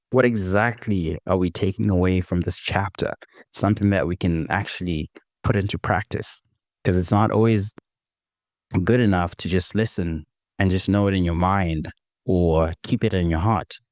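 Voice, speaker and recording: A man recovering from a cold, his voice slightly hoarse, leading a devotional reflection in an unhurried, gentle way with frequent self-corrections. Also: low voice, dry recording, clean studio sound. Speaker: devotional host.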